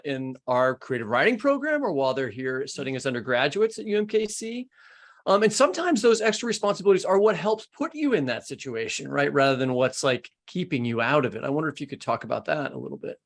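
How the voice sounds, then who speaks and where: A man with an American accent hosting an interview podcast in a conversational, discussing style. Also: medium pitch. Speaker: podcast host.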